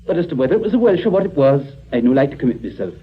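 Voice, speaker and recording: An actor playing a cagey, grasping Scottish junk dealer who feigns forgetfulness in a 1950s radio drama. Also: male voice, fairly high pitch, sing-song, racing, breathy edge. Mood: cagey, evasive. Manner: hedging, wily, mock-innocent. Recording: muffled old radio recording.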